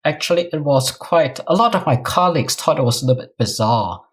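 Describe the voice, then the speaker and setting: A man's voice: a little high, expressive, fairly quick, fairly bright tone. A middle-aged man with a Singaporean accent, answering interview questions conversationally as an academic guest. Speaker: guest, New Testament professor.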